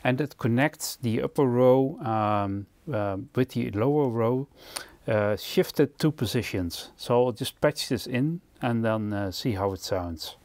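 A man giving an unscripted product demonstration as presenter, explaining in a halting, hesitant way. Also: medium pitch, a little mellow, no room sound. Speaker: gear reviewer and presenter.

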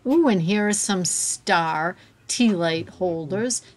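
A woman's voice: on the low side, slow pace, a little hiss or hum. A woman narrating a shop-with-me vlog inside a store, filming on a handheld phone and gushing over the items she finds. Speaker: shopping vlogger. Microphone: handheld phone camera.

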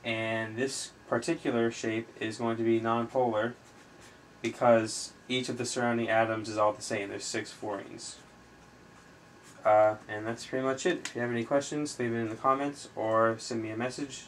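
A man tutors informally in a flat, medium-pitched voice. He moves haltingly from step to step, as if thinking aloud while he writes. The amateur recording has faint background noise. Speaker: chemistry tutor, video host. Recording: noisy amateur recording with room ambience.

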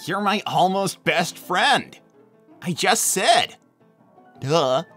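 A woman's voice: lowish voice, lively intonation, extremely slow, fairly bright tone, husky, soft background music, exaggerated voice. Sonic, a woman voicing him, a cocky hedgehog boy. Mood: flippant. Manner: flippant, teasing, dismissive. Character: brash cocky teen male hedgehog hero. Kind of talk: fan-made cartoon voice acting.

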